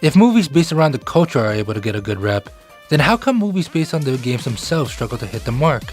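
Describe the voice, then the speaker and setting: A man's voice: mid-range voice, very animated, fast talker, darkish tone, faint music behind. A man hosting a video essay, delivering scripted narration.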